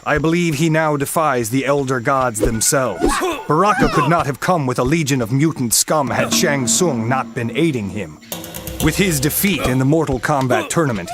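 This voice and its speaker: Actor doing a solemn godly master, measured and grave, mid pitch, loud music and noise behind. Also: male voice, natural voice. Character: wise stern male thunder god mentor.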